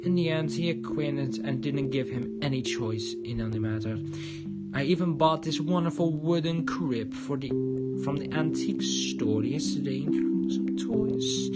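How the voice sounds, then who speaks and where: A man livestreaming a game, reading visual novel text aloud in a halting, stumbling way. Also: on the high side. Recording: loud guitar music behind.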